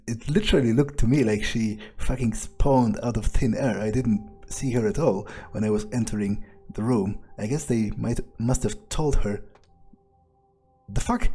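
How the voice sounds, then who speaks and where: Man playing a mellow, cynical vampire. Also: mid-range voice, breathy edge. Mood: startled, contemptuous. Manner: musing, hesitant, swearing. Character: cynical, suspicious, foul-mouthed young male vampire, thinking aloud. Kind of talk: in-character game roleplay monologue.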